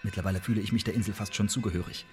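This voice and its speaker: A man acting in a radio play as an articulate, loyal imprisoned English agent and playwright, speaking in measured, formal, persuasive phrases. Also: fairly low pitch, fairly even intonation, faint music behind, lightly acted. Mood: earnest, persuasive.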